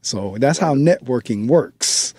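A man's voice: mid-range voice, lively intonation, slow, warm tone, breathy edge, dry recording. A man hosting a radio book show, chatting casually and speaking straight to his listeners from the studio. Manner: chatty, conversational, addresses listeners directly. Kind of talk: radio interview.

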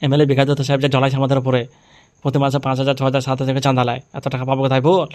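A man's voice: medium pitch, monotone, rapid-fire, a little mellow, clear, resonant tone, natural voice. A man voicing a worried, poor village tea-stall keeper who complains wearily in an amateur cartoon. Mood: weary, aggrieved. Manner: complaining, repeats himself. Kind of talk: amateur funny cartoon dub. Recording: music behind the voice. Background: music.

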